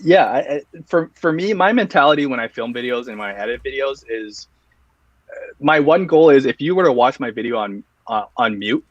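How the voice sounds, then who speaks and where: A man's voice: medium pitch, animated, brisk, no room sound, lo-fi sound. A man guesting on an interview, earnestly explaining how he works, step by step. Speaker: guest, video reviewer. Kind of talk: casual interview answers.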